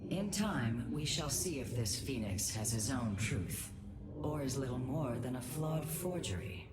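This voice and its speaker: An actress playing a wise, composed female alien advisor in a video game, with measured, formal, thoughtful delivery. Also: a bass voice, lively intonation. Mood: reflective. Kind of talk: dramatic video game acting. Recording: loud ambient game noise behind.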